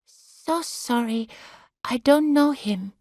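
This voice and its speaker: Woman doing a gentle apologetic carer. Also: high-pitched, animated, dry recording, pitched above the performer's own voice, heavily acted. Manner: halting broken English, apologetic. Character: patient young female carer, gentle and polite.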